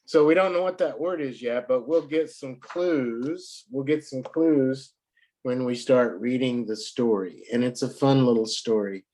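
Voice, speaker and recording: A man teaching a child in English, his voice a little hoarse from a cold. He speaks slowly and patiently, at a middle pitch, and keeps clearing his throat. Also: darkish tone. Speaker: English tutor. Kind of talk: online one-to-one lesson.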